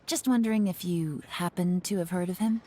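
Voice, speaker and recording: A woman's voice: fairly low pitch, brisk, heavy background noise, close to an everyday voice. A woman voicing a shrewd spy who speaks in a cool, teasing and evasive way. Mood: polite. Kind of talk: video game voice acting. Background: game music.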